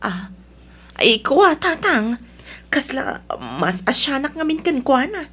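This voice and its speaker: An actress playing a nosy, sympathetic, gossipy wife in a radio drama, with an Ilocano accent. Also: female voice, high-pitched, big pitch swings, takes it slowly, fairly bright tone, full character voice. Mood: curious, pitying.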